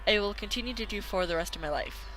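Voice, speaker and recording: A woman hosting a gaming video, reading a visual novel aloud haltingly, stumbling and correcting herself. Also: medium pitch, fairly bright tone, soft background music, low-quality recording.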